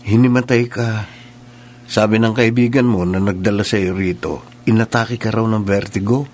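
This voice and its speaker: A man's voice: on the low side, fairly even intonation, moderate pace, darkish tone, lightly acted. An actor playing a friendly, teasing male school nurse in a radio drama. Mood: kindly.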